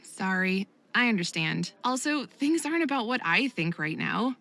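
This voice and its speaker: A woman voicing a supportive, reassuring friend in a visual novel game, encouraging and gently chiding. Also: medium pitch, very animated, moderate pace, vocal fry, exaggerated voice. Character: supportive, reassuring female friend of young skater.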